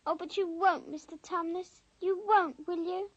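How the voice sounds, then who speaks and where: A female performer playing a polite, earnest, tender-hearted little girl in an animated fantasy. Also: extremely high pitch, a little slow, highs cut off. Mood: pleading. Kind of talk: animated fantasy film acting.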